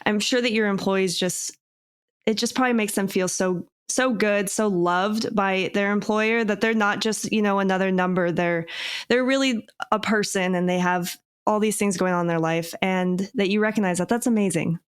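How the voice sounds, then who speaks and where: A woman hosting a business podcast, asking questions and warmly affirming the guest's answers. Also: medium pitch, fairly even intonation, moderate pace, clear, resonant tone. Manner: upbeat, affirming interviewer. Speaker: podcast host. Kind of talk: casual interview.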